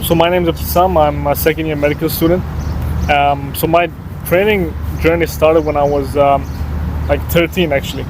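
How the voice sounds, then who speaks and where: A man of twenty, a guest on a podcast, talks casually in a soft, relaxed way with many fillers and restarts. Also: on the high side, normal speed, background noise. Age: 20s. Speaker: podcast guest, medical student.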